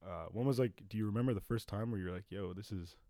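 A young man hosts a relaxed podcast chat, laughing easily and tossing in a friendly "eh". His voice sits low and the sound is dry and close. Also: fast, dry recording. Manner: laughs often, loose and joking, says "eh". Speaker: podcast host. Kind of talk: casual interview chat.

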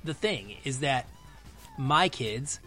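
A man's voice: medium pitch, animated, takes it slowly, bright, forceful tone. A middle-aged man speaking as a podcast guest in a casual discussion about films.

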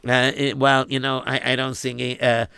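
A man's voice: medium pitch, monotone, moderate pace, vocal fry, bright, forceful tone, clear, resonant tone, no room sound, clean studio sound. A man voicing a humble young religious student who chants in a sing-song and answers with hesitant stammers. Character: humble young male yeshiva student, devout singer.

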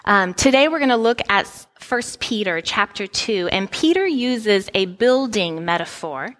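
A middle-aged woman gives a Bible lesson and tells a story from her childhood. She talks in a loose, chatty way, full of fillers and restarts. Her voice sits at an ordinary middle pitch. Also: big pitch swings, unhurried, fairly bright tone. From Italy. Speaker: Bible teacher. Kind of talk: personal story leading into a sermon.

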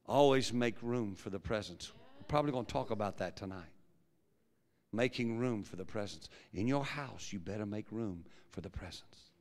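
A pastor preaching a sermon with a big, projecting voice that swings from quiet low musing to loud fervent preaching. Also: male voice, lively intonation, normal speed. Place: large church hall. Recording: long hall reverb.

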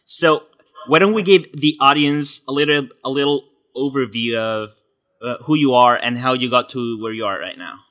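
Upbeat guy hosting a podcast, bright punchy voice, mid pitch. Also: moderate pace. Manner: upbeat, energetic delivery. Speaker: podcast host.

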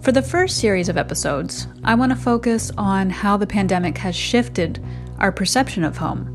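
A middle-aged American woman hosts a podcast, reading personal narration. Her voice sits at an average female pitch, with music playing underneath. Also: animated, normal speed. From United States. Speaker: podcast host.

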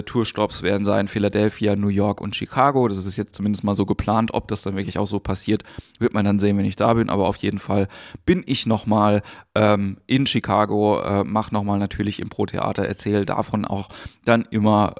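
Gravelly-voiced man hosting a podcast. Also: fairly low pitch, no echo, clean studio sound. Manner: chatty, many fillers. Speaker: podcast host. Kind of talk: podcast intro and interviewing.

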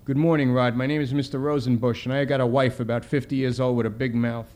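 A man's voice: mid-range voice, flat delivery, fast talker, a little mellow, close to an everyday voice. Guy putting on old grandpa caller in an audiobook, cranky jokey, old-timey slang. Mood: joking. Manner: wisecracking, old-fashioned slang.

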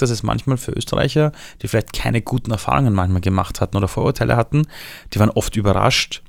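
A man from Iran speaks with a Viennese accent in a relaxed interview. His voice is gravelly and sits fairly low. He tells his story in a lively way, in a dry, close recording. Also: no echo. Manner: lively storytelling, shifts voice for quotes.

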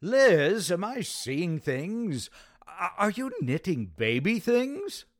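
Suave, excitable bachelor friend, played by an actor: high male voice, wildly animated, emphatic radio comedy style. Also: takes it slowly, no echo, clean studio sound, exaggerated voice. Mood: astonished, delighted. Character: suave, excitable bachelor man about town, a sitcom friend. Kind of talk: radio sitcom acting.

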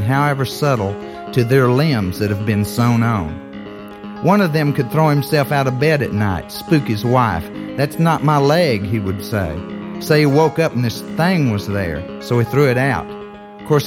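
A man narrating an audio story like a film pitch, in offhand, clipped fragments.

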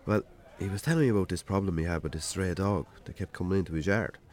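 Low irish guy, actor playing a tired farmer who drinks, dry and wry, radio drama style. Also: quick pace, darkish tone, natural voice. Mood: wry storytelling. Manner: dry, wry, colloquial, sweary. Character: weary, sardonic Irish farmer, a hard drinker. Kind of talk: naturalistic radio drama acting.

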